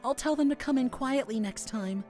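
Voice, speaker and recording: A woman voicing a shy, gentle young male forest dweller in a visual novel, speaking in a halting, earnest way. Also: high-pitched, faint music behind, close to an everyday voice. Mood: shy, hesitant. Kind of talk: visual novel character acting.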